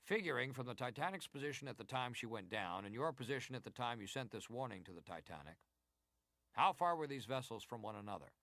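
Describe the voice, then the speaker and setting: A senator at a historical inquiry, speaking in a middle-pitched voice with a dry, close sound. His questioning is formal, deliberate and probing, as in a dramatized hearing. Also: lively intonation, gravelly, a bit bright, no room sound. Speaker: actor reading hearing testimony.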